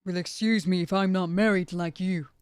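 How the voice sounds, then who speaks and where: A woman's voice: on the low side, steady tone, moderate pace, darkish tone, smooth, dry recording, studio quality, natural voice. A woman playing an irritable, stubborn assassin in an ASMR audio roleplay, blunt and dismissive in her delivery. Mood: indignant. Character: irritable, stubborn female assassin, undead and aloof.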